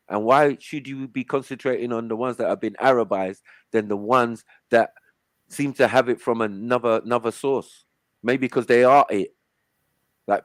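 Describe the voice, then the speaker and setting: A man leading a lively online panel, pressing his points and cutting in whenever someone else starts. His voice sits in a middle range and sounds close and dry, with no room around it. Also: mid-range voice, dry recording. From Africa. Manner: talks over others, insistent, says "hold on" often. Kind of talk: heated casual panel discussion. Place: online call.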